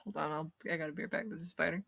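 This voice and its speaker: A female tabletop player with a husky, creaky and slightly low voice, recorded dry. She speaks softly and offhandedly. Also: racing, dry recording. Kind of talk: tabletop play and chat.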